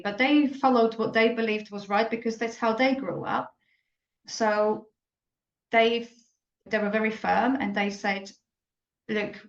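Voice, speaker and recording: Woman in her forties, halting and reflective, roomy echoey muffled audio. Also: mid-range voice, gravelly. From Slovakia. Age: 40s. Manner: reflective, halting, frequent self-corrections. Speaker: guest. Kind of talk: personal story in an interview.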